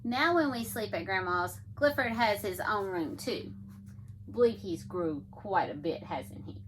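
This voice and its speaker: A woman reading picture books aloud to young children in an animated, engaging way, often addressing her listeners directly. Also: mid-range voice, measured pace, a little hiss or hum, low-quality recording.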